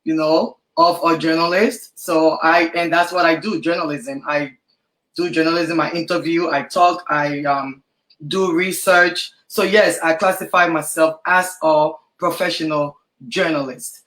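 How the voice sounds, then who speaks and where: A woman's voice: low-pitched, steady tone, a little slow, a bit bright, echoey room, low-quality recording. A woman born in Liberia and raised in Ghana, a podcaster and journalist, answering interview questions in a rambling way with many fillers.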